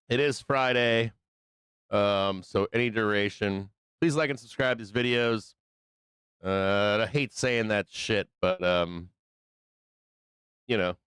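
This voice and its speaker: A hoarse middle-aged man with a Midwestern American accent hosting a live trading stream, rambling casually, swearing constantly and filling pauses with ums. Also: fairly low pitch, fairly bright tone, no room sound, clean studio sound. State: hoarse, losing his voice.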